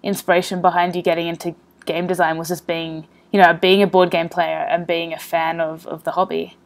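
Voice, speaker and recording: Female podcast host, lowish voice, friendly and upbeat. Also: flat delivery, a little fast, creaky. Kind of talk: podcast interview.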